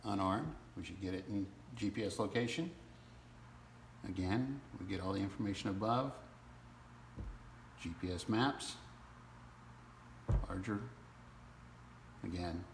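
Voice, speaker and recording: Low-voiced man giving a casual tutorial. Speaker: product demonstrator. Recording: roomy sound with faint background noise.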